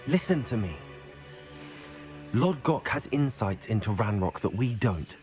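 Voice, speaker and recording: A man's voice: on the low side, very animated, unhurried, darkish tone, soft background music. A man playing a level-headed, earnest teenage schoolboy wizard in a video game, with a British accent and a reasoning manner. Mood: firm, pleading. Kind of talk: video game character acting.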